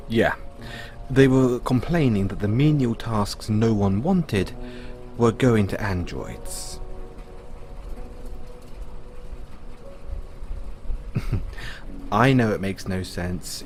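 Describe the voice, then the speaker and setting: A man playing a patient owner talking to his android in an audio roleplay, explaining in a musing way with pauses. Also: fairly low pitch, animated, a little mellow, soft background music. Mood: wryly amused. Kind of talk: audio roleplay, conversational storytelling.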